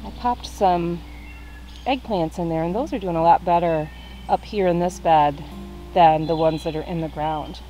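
A young woman gives a relaxed, chatty tour of her backyard garden, holding the camera and pointing out plants as she walks. Her voice sits a little low and sounds mellow and warm. Soft music plays faintly in the background. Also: moderate pace, poor audio. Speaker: gardening vlogger. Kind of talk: casual walking garden tour. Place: outdoor backyard vegetable garden. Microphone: handheld camera.

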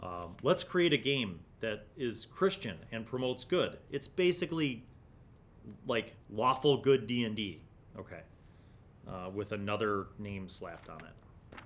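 A man's voice: animated, a little slow, fairly bright tone. A middle-aged man gives casual, conversational commentary as host of a gaming review series, thinking aloud. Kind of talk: casual commentary while leafing through a magazine, reading bits aloud. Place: small indoor home studio. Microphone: desk condenser microphone in front of him. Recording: clear low background rumble noise.

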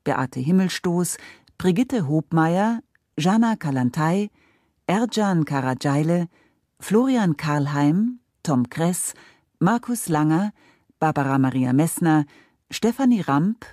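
A woman reads scripted radio credits as an announcer. Her voice is very low and mellow, paced slowly, in a dry studio sound. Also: lively intonation, no echo.

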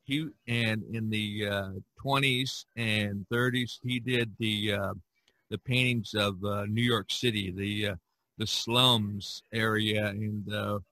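Man, podcast host, bright forceful tone, halting repetitive phrasing, casual chat, dry sound. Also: on the low side, steady tone, no room sound. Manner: halting, repeats words. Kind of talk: casual discussion.

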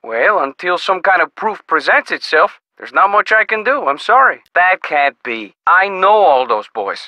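An actor playing an easygoing, skeptical small-town sheriff in a video game, with a folksy, casual manner. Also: male voice, sits high, big pitch swings, pushed and bright, dry recording, lo-fi sound, exaggerated voice. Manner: folksy, casual, drawn-out reactions. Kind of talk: video game character dialogue.

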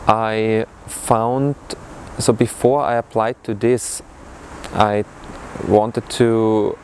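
A young man with an Austrian accent speaking to camera outdoors about his studies, often pausing to find his words. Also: fairly low pitch, fairly even intonation, slight background noise. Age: young adult. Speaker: international student. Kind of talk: testimonial to camera. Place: outdoors in a city courtyard. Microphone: lapel mic.

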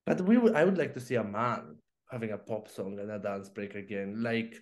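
Animated man chatting over a video call. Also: lowish voice. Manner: animated, quick bursts of speech with fillers and laughs. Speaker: co-host. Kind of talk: casual chat on a video call. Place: home room with plants.

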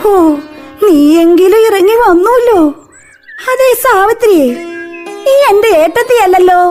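Actress doing a bossy sick old mother, very high voice, loud music behind. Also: a little slow. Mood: scolding. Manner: scolding, plaintive, weepy calls. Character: ailing older mother, fretful and bossy. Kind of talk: radio drama acting.

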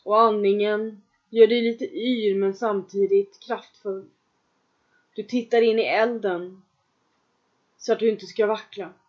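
A woman with a mid-range voice guides listeners through a trance meditation. She speaks in calm, measured second-person instructions and leaves long pauses between lines. The recording sounds dull and lo-fi. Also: medium pitch.

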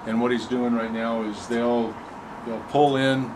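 A man in his fifties giving an informal, matter-of-fact on-camera explanation of his workplace as a fire staff officer, with halting delivery. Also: mid-range voice, steady tone. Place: busy open dispatch office, indoors. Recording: clear background noise, air-conditioning hum.

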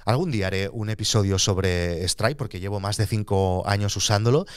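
A man in his forties, hosting a podcast, calmly and methodically explaining how his business handles money. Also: on the low side, normal speed, clean tone, no echo, clean studio sound. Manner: calm, methodical, explains terms as he goes. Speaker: podcast host and entrepreneur. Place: small studio in front of a blue backdrop. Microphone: studio microphone on a boom arm.

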